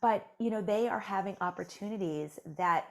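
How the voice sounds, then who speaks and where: A woman's voice: medium pitch, normal speed. A woman author speaking as a guest on an interview. She talks in an encouraging way, with many "you know"s. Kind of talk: conversational interview.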